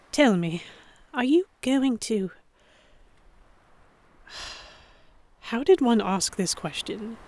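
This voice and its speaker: A woman's voice: mid-range voice, a little mellow. A woman playing an anxious young noblewoman who narrates an audio drama in a fretful, tentative, introspective manner. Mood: tentative, awkward. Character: anxious young noblewoman heroine narrating her captivity among pirates. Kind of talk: first-person scripted narration in audio drama.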